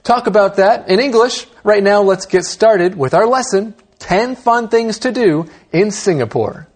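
A man who grew up in Taiwan, hosting an English lesson and explaining things slowly and clearly for learners. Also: high voice, lively intonation. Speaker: host.

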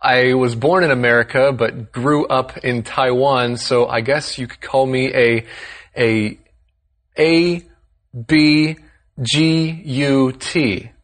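A man's voice: medium pitch, steady tone, slow. Friendly man explaining words. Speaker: language lesson host. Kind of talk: scripted lesson dialogue.

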